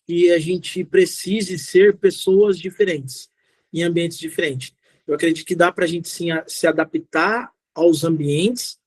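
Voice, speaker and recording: A middle-aged man with a Brazilian accent and a rather high voice, recorded dry and close. He talks like a motivational guest speaker, informal and direct, driving key phrases home by repeating them. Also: no echo. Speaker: guest speaker, social entrepreneur. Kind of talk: motivational talk answering audience questions.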